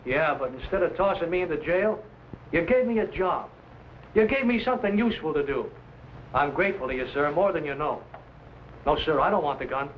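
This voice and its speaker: An actor playing an earnest young hired hand, a reformed gunslinger, polite and deferential in an old adventure drama. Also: male voice, a little high, animated, fairly quick, lightly acted. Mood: sincere, grateful. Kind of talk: vintage adventure drama acting. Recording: dull, noisy vintage drama soundtrack.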